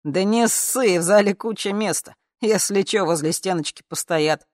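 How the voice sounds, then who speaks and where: A woman's voice: mid-range voice, normal speed, dry recording, studio quality, voice pushed up, natural voice. A woman voicing a brash, earnest male bar musician who talks in slangy, insistent bravado. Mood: proud, breezy.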